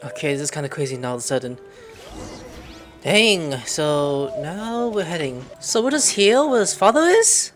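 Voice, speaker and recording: A woman's voice: low voice, lively intonation, moderate pace, soft background music. A woman giving casual, slangy, exclamatory commentary as the host of a gaming video. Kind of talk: casual let's-play commentary.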